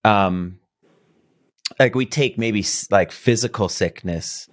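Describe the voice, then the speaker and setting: A man's voice: fairly low pitch, slow pace. A man hosting a podcast, asking his guest curious, friendly questions in a casual conversation. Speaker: podcast host.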